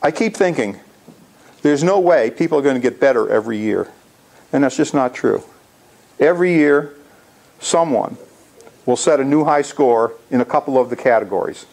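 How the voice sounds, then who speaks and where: Older man with a gravelly voice. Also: medium pitch, animated, moderate pace, pauses often, darkish tone. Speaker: amateur radio club presenter. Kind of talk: informal slide presentation to a club. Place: indoor lecture room.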